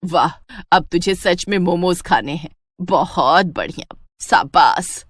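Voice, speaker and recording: A woman's voice: on the low side, slow, no room sound, clean studio sound. A woman playing a bitter, taunting middle-aged village mother-in-law in a theatrical moral story. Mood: sarcastic. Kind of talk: dramatic Hindi moral-story voice acting.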